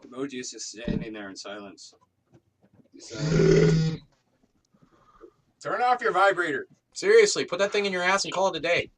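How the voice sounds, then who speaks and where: Sweary wry guy chatting w friends, kinda high voice, mic far away, noisy. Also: big pitch swings, normal speed, long pauses. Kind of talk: casual joking round-table chat. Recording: distant mic, clearly noisy background.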